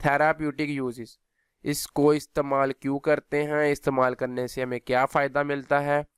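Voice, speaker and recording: A man's voice: fairly high pitch, level tone, normal speed, clear, resonant tone, dry recording, clean studio sound. A man giving an instructional physiotherapy lecture, explaining in a teacherly way and mixing in English technical terms. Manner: switches between Hindi and English technical terms.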